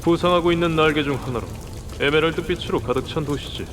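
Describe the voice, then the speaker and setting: An actor playing a smooth, officious corporate agent in a game drama, clipped and insinuating. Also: male voice, on the high side, fast talker, background noise, natural voice.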